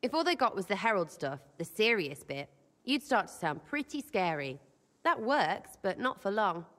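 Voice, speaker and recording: A woman's voice: medium pitch, big pitch swings, moderate pace, fairly bright tone, in a large hall. A woman playing a mischievous, blunt young elven rebel in a video game, with an English accent and a cheeky, slangy, irreverent way of talking. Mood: gleeful.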